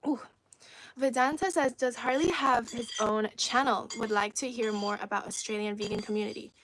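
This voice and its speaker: A woman from China whose first language is Cantonese, chatting casually on a livestream into a phone, reading viewer comments aloud and often dropping to soft half-whispered asides. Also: a little high, normal speed, a little hiss or hum, poor audio. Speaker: livestream host.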